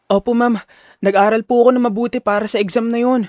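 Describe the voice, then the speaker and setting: A man voicing a determined, defiant male high school student in an audio drama, speaking firmly and formally. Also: fairly even intonation, quick pace, a little mellow, no room sound. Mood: firm, respectful. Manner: firm, formal, respectful but challenging.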